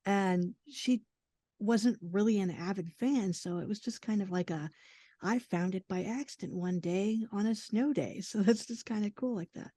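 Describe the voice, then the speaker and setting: A woman in her forties, a podcast guest telling personal stories in a casual, animated way. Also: medium pitch, normal speed. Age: 40s. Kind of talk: casual chat, personal storytelling.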